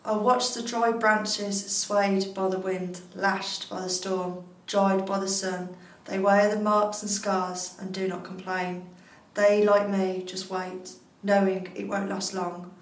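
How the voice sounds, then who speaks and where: A woman reciting poetry in a measured, scripted reading style. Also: medium pitch, little pitch movement. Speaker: poetry reader. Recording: echoey, distant mic, faint noise.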